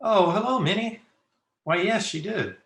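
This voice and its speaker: A man playing a kindly, earnest young gentleman in an old-time radio drama. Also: high voice, expressive, a little slow, heavily acted. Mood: earnest, puzzled. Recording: roomy home video-call audio.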